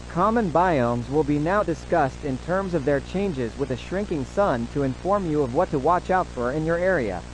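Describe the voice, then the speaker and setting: A man playing a formal, solemn government announcer, reading a scripted emergency broadcast in a measured way. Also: a little high, a little hiss or hum, natural voice, poor audio.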